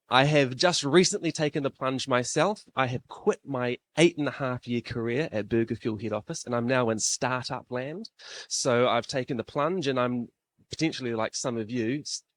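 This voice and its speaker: A man in his thirties with a New Zealand accent, emceeing a live event in a classroom-style room, chatty and self-deprecating. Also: mid-range voice.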